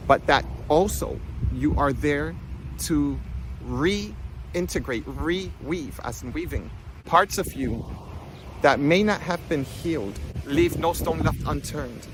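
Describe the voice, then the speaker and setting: A man giving an informal spiritual talk with personal stories, in an animated, conversational manner. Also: mid-range voice, noisy background. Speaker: spiritual healing coach and vlogger.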